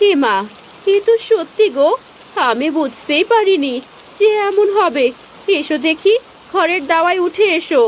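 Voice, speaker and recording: A woman's voice: very high voice, lively intonation, measured pace. A woman voicing a caring but scolding village wife and mother in a cartoon, fussing expressively. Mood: worried, caring. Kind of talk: cartoon voice acting. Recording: clear rain-like background noise.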